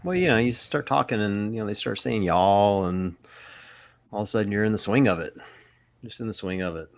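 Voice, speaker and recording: Middle-aged male co-host, low-pitched, loose and rambling, swears freely. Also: brisk. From Mississippi, US. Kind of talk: casual live Q&A chat.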